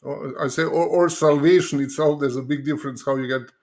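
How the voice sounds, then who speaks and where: A man interviewing a guest, adding short remarks with halting repeats. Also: on the high side, steady tone, a little mellow. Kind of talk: casual interview chat.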